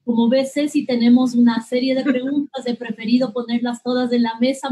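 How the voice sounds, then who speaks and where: A woman moderating a webinar, reading out audience questions in a halting way with many fillers. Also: a little high, dark tone, breathy edge. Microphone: video call. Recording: dull lo-fi online call audio.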